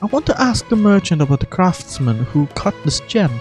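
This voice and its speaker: A woman plays an earnest young desert guardian from a fantasy game. Her speech is measured, courteous and formal, with expressive pitch, over faint music. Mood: formal, businesslike. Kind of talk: amateur video game voice acting.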